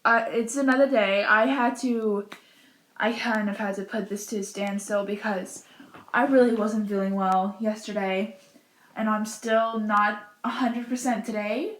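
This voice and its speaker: A young woman with a hoarse voice from a cold, casually talking through a craft tutorial from her bedroom. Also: mid-range voice, steady tone, poor audio. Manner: slow, rambling, many fillers. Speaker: cosplay vlogger. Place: bedroom at home.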